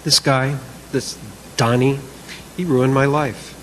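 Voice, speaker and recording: A man's voice: medium pitch, fairly even intonation, slow, a little hiss or hum, close to an everyday voice. A man performing a dramatic monologue as a bitter, sleepless man who obsesses over a grudge, aggrieved and sarcastic. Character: bitter, sleepless man brooding over a feud with his neighbour. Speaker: reader in a church service skit.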